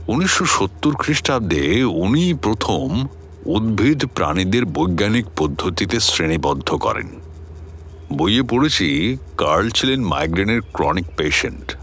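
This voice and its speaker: A man in a radio drama playing a genial older learned mentor, amused and assured, explaining things in an avuncular, expressive way. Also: low-pitched, breathy edge, heavily acted. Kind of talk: Bengali radio drama acting.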